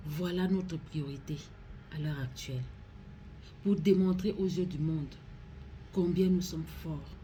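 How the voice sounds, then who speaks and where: Cameroonian woman doing a solemn public appeal, really slow and grave, dark mellow voice, some background noise. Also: deep voice. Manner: grave, pleading, deliberate phrasing. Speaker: regional councillor making a public appeal.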